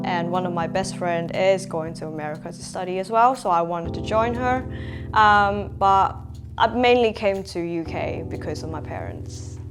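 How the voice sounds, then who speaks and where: A young woman from Hong Kong in her twenties speaks in a reflective interview, her voice on the lower side. She pauses with frequent "um"s and slips in light laughs. Music plays clearly behind her in a bright indoor room. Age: 20s. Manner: reflective, frequent "um" fillers, laughs between thoughts. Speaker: interviewee, makeup artist. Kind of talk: sit-down interview.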